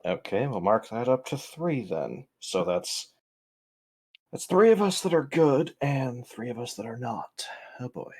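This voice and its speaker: A man's voice: medium pitch, big pitch swings, measured pace, a little mellow, breathy edge. A man playing in a tabletop roleplay, talking casually in character with a questioning, dryly wry manner.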